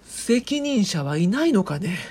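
A woman playing an eccentric, excitable professor in a comic audio drama, with pompous, theatrical, old-fashioned formal speech. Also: medium pitch, a little slow, slightly rough. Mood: indignant. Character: eccentric, excitable professor obsessed with a phantom bird. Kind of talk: comedic audio drama acting.

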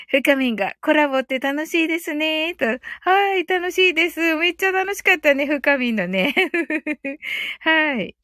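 Female livestream host, high voice, chatty and giggly, halting delivery in short casual phrases. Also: fairly bright tone, dry recording, studio quality. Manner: halting, filler-heavy, short phrases with frequent "ne" tags. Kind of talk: casual livestream chat, greeting listeners and reading comments.

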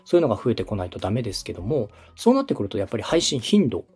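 Husky, lively male podcast coach. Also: on the low side, racing. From Osaka, Japan. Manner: polite, instructive. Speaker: podcast host and coach, radio director. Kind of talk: consulting conversation, explaining step by step.

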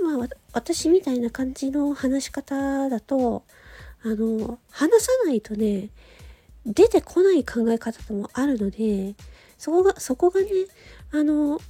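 A woman hosts her own casual audio show. Her voice sits on the high side, with a gentle, dark-soft tone and a breathy, husky edge. She talks as if thinking aloud, conversationally and without a script. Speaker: host of a solo audio show. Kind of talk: casual monologue on a chosen theme.

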